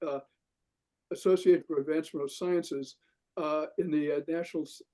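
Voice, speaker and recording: An older man talks in a measured, halting way, pausing often and filling gaps as he thinks. His voice sits a little high but sounds mellow and soft-edged. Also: fairly even intonation. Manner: measured, halting with many pauses and fillers. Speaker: discussion participant. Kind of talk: reflective group discussion.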